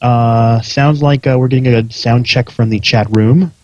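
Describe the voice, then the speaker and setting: A man's voice: medium pitch, fairly even intonation, unhurried, warm tone, dry recording, lo-fi sound. A middle-aged American man chatting casually as a podcast host before the show. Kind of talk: casual pre-show chat.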